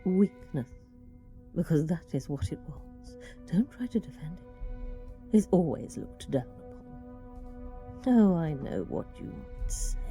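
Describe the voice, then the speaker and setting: A woman playing a stern, cynical woman in a radio drama, with a very dark, low voice. She lectures with cutting, deliberate scorn over faint music. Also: sing-song, vocal fry, heavily acted. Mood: scornful. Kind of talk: radio play monologue acting.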